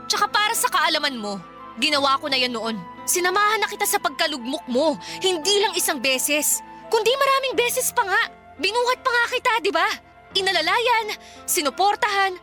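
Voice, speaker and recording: Scornful young ex-girlfriend, high sharp voice, blunt scolding delivery, radio drama, music underneath. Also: full character voice. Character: Yeri, cold, exasperated young woman confronting her ex. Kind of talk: Filipino radio drama acting.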